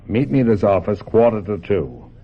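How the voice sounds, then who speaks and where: Deep, hard-nosed American agent. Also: male voice, lively intonation, normal speed, slightly rough, lo-fi sound. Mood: pressuring, tough. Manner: brusque, bullying, slangy. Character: hard-nosed, crude, cynical male talent agent. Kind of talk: radio drama acting.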